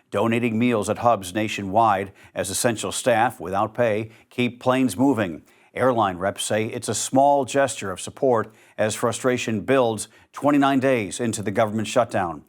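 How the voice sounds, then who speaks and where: A man reading scripted news as the anchor, in a measured, even newsreader delivery. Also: medium pitch.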